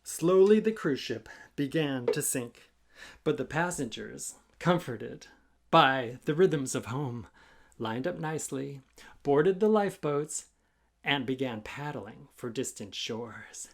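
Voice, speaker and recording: A man reading his own children's book aloud in a theatrical, rhythmic, deliberate way for young listeners. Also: medium pitch, lively intonation, creaky. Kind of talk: animated musical storytime read-aloud with rhythmic scat.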